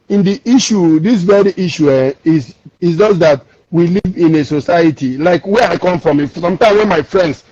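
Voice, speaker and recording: A man's voice: fairly high pitch, darkish tone, slightly rough, no echo, lo-fi sound. A man from Lagos with a Nigerian accent, calling into a discussion show and explaining emphatically, repeating and restarting his words. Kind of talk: call-in discussion.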